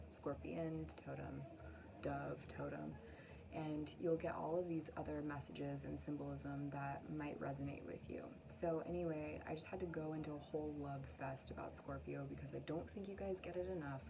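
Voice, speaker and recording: A woman's voice: low-pitched, gravelly, noisy background. A woman hosting a casual, chatty card reading, speaking effusively and affirmingly with rambling asides. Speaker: astrologer and tarot reader, channel host.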